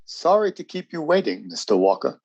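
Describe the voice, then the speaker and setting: An actor playing a firm, procedural military security officer in a radio drama, formal, brisk and husky. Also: male voice, mid-range voice, very animated, lo-fi sound, background noise. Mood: firm, courteous.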